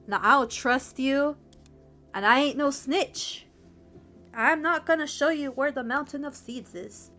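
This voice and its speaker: A woman's voice: sits high, measured pace, sharp tone, soft background music. A woman voicing a small, stubborn male ant in a folktale, with an offhand, slangy way of talking. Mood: defiant.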